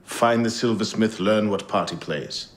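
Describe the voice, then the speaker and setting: An actor playing a calm, authoritative mentor in a video game, with measured, directive speech. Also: male voice, fairly low pitch, noisy background, natural voice. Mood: grave, instructive. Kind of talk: cinematic game voice acting.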